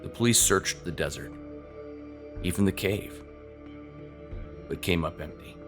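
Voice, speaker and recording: A man reading a scripted horror story in an ominous, suspenseful manner, at times sinking toward a whisper. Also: low-pitched. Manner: slow, ominous, suspenseful, dropping into breathy near-whispers. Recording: loud music under the voice. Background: music.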